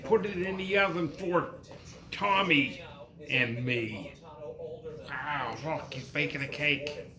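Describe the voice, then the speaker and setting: Slow-reading dad in an echoey room. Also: male voice, on the high side, crowd noise. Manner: sing-song, unhurried, pausing and repeating lines. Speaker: father reading to his child. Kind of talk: reading nursery rhymes aloud from a book.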